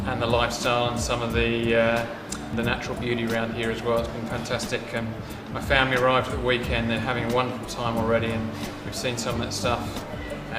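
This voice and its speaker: A British man, a doctor, answering interview questions steadily with frequent pauses. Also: mid-range voice. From United Kingdom. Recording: roomy sound with music behind.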